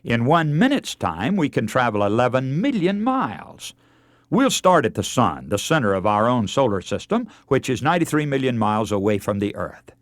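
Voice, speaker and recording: A man delivering a radio sermon as a preacher, earnest and emphatic, with deliberate, oratorical phrasing. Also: medium pitch, sing-song, dry recording, clean studio sound. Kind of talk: radio sermon, oratorical lecture with scripture readings.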